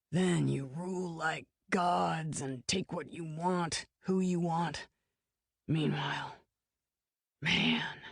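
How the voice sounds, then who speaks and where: A woman doing a slow, pompous dying killer. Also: vocal fry, husky, no room sound, clean studio sound, full character voice. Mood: grandiose. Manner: slow, low, pompous and unhurried. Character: big dying male killer, grandly calm.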